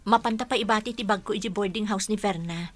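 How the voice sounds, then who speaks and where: An actress with a Filipino accent playing a hardworking, self-sacrificing older sister in an Ilocano radio drama. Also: female voice, mid-range voice, fast, close to an everyday voice. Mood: matter-of-fact.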